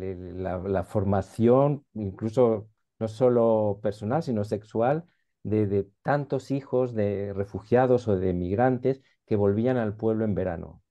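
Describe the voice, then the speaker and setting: A man interviewing a playwright over a video call, speaking haltingly with many restarts. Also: on the low side, dark tone. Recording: dull muffled video call audio.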